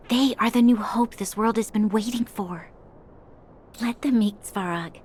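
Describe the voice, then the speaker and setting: Sincere, composed young noblewoman for a game: fairly high, measured, earnest and gentle. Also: slightly rough. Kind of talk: video game voice acting.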